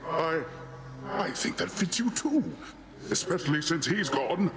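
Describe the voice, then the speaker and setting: A man's voice: fairly high pitch, animated, measured pace, crowd noise. A man playing a jovial, boastful sea god uncle in a video game, with grandiose, playful and theatrical delivery that is goofy yet haughty. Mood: breezy, teasing. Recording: loud game music behind the voice.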